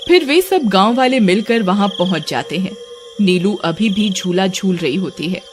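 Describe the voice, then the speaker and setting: A woman's voice: medium pitch, lively intonation, moderate pace, soft background music. A woman narrator reading a scripted storybook-style tale.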